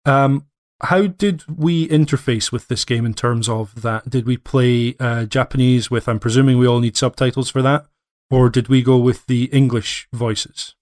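A man's voice: mid-range voice, steady tone, moderate pace, darkish tone. Man musing over a game with fillers. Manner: thoughtful, hedging with many fillers. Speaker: podcast co-host. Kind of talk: casual game discussion.

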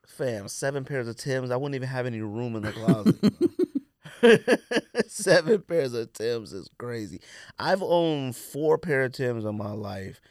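A man of medium pitch banters as a podcast co-host. His intonation swings widely, and his talk is slangy, playful and full of exclamations. The sound is close and dry. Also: lively intonation, measured pace, dry recording. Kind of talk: casual banter.

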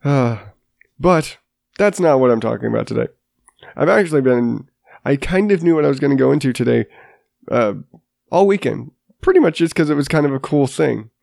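A man's voice: medium pitch, expressive, moderate pace, creaky, darkish tone, dry recording, clean studio sound. A man in his thirties hosting his own podcast while stuffed up with a sinus infection, talking in a rambling, self-deprecating way with many restarts. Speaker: podcast host. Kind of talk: casual solo podcast monologue.

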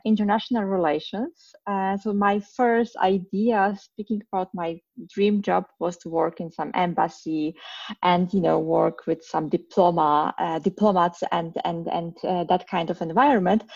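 A woman's voice: mid-range voice, darkish tone, dull audio. A woman in her thirties from Poland with a Polish accent, answering podcast questions earnestly with many hesitant fillers. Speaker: podcast guest. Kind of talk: casual interview answers.

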